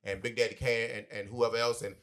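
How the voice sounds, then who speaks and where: A man's voice: mid-range voice, level tone, quick pace, fairly bright tone. A man in his forties co-hosting a podcast, talking emphatically and with plenty of swearing. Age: 40s. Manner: emphatic, profane, preachy. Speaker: podcast co-host. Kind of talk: casual chat.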